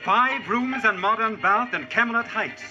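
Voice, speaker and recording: Actor as high-voiced, eager medieval house salesman. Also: normal speed, close to an everyday voice. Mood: eager, persuasive. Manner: declamatory sales pitch in archaic diction. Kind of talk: 1940s radio drama acting. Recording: muffled vintage radio, music underneath.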